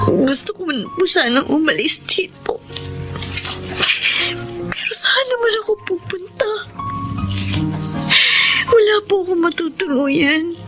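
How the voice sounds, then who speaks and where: Wronged Filipino wife, very high plaintive voice, pleading, radio melodrama, music underneath. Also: female voice, rough recording. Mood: despairing. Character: betrayed, pleading, long-suffering common-law wife.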